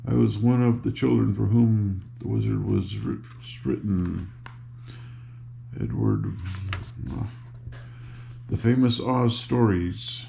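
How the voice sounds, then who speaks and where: Slow, gravelly low-voiced older man. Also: steady tone, dark tone, dull audio, a little hiss or hum. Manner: unhurried, informal, grandfatherly. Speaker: storybook reader and host. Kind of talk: reading a children's book aloud with casual asides.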